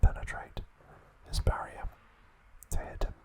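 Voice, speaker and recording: Slow whispering man, ASMR style. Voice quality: soft breathy whisper. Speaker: ASMR host. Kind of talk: whispered ASMR recap narration.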